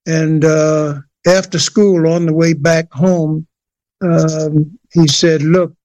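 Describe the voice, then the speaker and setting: An older man from New Jersey, a singer, telling his story in an interview with many pauses and restarts. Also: a little high, level tone, a little mellow, dry recording. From USA, New Jersey. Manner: hesitant, many fillers and restarts. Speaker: guest singer.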